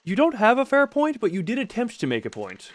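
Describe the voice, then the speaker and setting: A man's voice: sits high, huge pitch swings, a little fast, no room sound. A man streaming a video game, commenting live in a wry, rambling way and often repeating his own phrases. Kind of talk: live gameplay commentary, talking to himself and chat.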